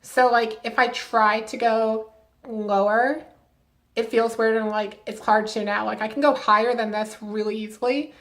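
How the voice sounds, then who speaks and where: Young woman vlogger, a touch high-pitched, chatty and casual, giving upbeat tips. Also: steady tone, moderate pace. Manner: chatty, lots of "like" fillers, upbeat advice-giving. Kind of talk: casual to-camera vlog.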